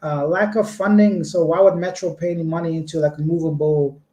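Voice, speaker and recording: A man's voice: a little high, steady tone, brisk, mellow. A man from Canada chatting casually on a livestream, answering viewer comments with long, rambling explanations. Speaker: vlogger. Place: indoor home room. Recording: echoey room.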